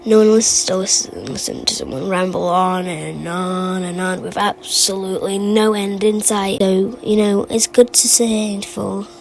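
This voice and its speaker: A woman voicing a rambling, forgetful game storyteller, halting and digressive, stammering as the tale wanders. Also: mid-range voice, a little mellow. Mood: musing. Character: rambling, forgetful storyteller who muses about odd small memories. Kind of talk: fan recital of game character voice lines. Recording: amateur recording with clear background noise.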